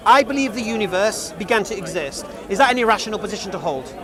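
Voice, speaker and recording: Man, forties, from England; pushy street preacher, high and rapid, crowd noise behind. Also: lively intonation. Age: 40s. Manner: insistent, repeats himself, leading questions. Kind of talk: street debate.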